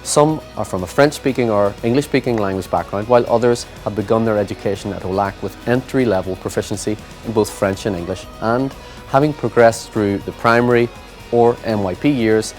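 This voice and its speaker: A man's voice: lowish voice, darkish tone. A man giving formal, scripted narration for a school promotional video. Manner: formal, measured reading. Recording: music bed underneath.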